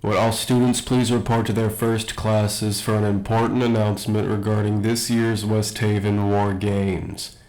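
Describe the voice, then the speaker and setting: A man playing an academy principal who makes formal, measured announcements in an audio roleplay. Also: lowish voice, flat delivery, darkish tone, natural voice, rough recording.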